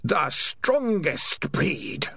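Storybook villain spirit: drawn-out, raised pitch, menacing and boastful. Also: male voice, very animated, bright, forceful tone, breathy edge, exaggerated voice. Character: sinister, boastful disembodied voice invading a head.

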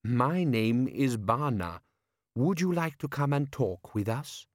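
A man acts out a friendly young woman from a storybook. She speaks gently and carefully, polite and kind, in a dry, close recording. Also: medium pitch, lively intonation, slow, no echo. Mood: kindly.

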